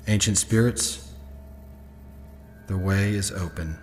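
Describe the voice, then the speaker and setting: In cavernous reverb over music, an actor plays a solemn young man summoning spirits in a horror audio drama. He intones each phrase slowly and insistently, with a low, sing-song incantation. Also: darkish tone. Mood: fervent, commanding.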